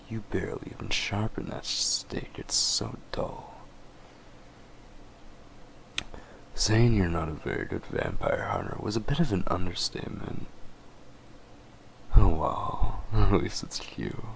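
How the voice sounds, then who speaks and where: A man acts out a possessive, teasing vampire in an audio drama. His low voice is gravelly and swings widely as he toys with his prey, often sinking into a murmur. He sounds smug and in full control. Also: expressive, a little hiss or hum, full character voice, poor audio. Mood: mocking, teasing. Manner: slow, taunting, chuckling, often dropping to breathy murmurs. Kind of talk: scripted roleplay audio drama.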